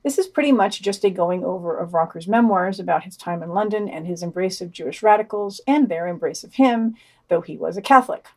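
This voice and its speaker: A woman's voice: on the low side, animated, a little fast. A woman hosting a podcast, reading news summaries with dry, sardonic asides. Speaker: podcast host.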